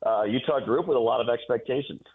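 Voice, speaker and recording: A man's voice: medium pitch, fast talker, slightly rough. A man giving sports analysis as a guest announcer on a radio show, heard over a phone-like line.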